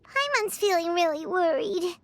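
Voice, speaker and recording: A woman voicing a small childlike fairy companion in a video game, squeaky, excitable and anxious, speaking in exclamations. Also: moderate pace. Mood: worried. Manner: refers to herself in the third person, exclamatory. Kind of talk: video game character acting. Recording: game music behind the voice.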